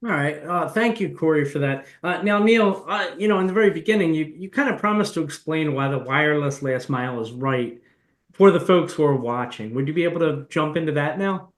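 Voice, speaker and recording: A man's voice: on the high side, expressive, fairly quick, roomy sound. A man hosting a webinar who passes questions to his guests in a friendly, easygoing way. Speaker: webinar host. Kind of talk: moderating a webinar.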